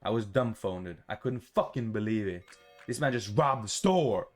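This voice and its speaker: A man reading a visual novel aloud for a gaming video in a casual, expressive, sweary way, adding his own playful reactions. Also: on the low side, moderate pace, faint music behind.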